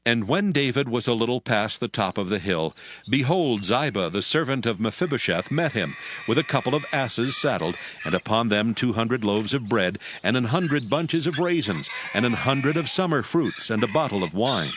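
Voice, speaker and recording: A man's voice: mid-range voice, animated, normal speed. A man narrating scripture from a script. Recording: music in background.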